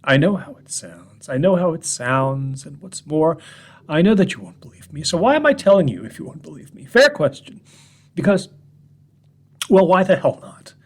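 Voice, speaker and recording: A man reading a horror story as a shaken, bitter narrator telling gentlemen how his life came apart, veering between loud outbursts and hushed whispers. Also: fairly high pitch, sing-song, moderate pace.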